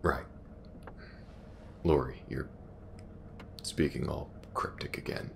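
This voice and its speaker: Attore nel ruolo di un detective dalla voce gravissima e roca. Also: male voice, very deep voice, fairly even intonation, slow pace, vocal fry, slight background noise, heavily acted. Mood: reluctant. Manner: blunt, wry, skeptical. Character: gruff, skeptical, watchful male detective. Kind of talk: audio roleplay drama acting.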